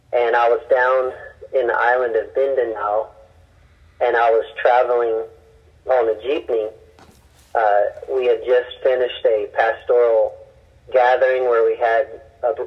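Husky-voiced missionary man telling his story. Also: mid-range voice, dull audio. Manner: earnest, halting with many restarts. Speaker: missionary, interview guest. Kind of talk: personal storytelling in an interview.

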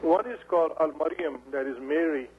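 A man, a guest in a radio interview, talking in halting phrases with many fillers and restarts. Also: fairly high pitch. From Pashtun area of Pakistan. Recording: dull radio broadcast with faint hum.

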